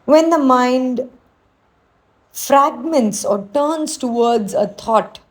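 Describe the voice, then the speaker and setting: A woman with a fairly high voice guides a meditation very slowly. She gives gentle instructions in a soothing manner, as a teacher leading a practice.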